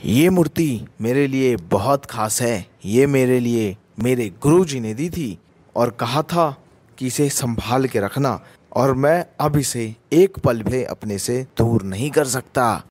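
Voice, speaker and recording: Guy playing a devout sadhu in a radio play, slow reverent talk, dry sound. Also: mid-range voice, expressive, a little mellow, no room sound. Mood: reverent, courteous. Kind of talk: radio drama acting.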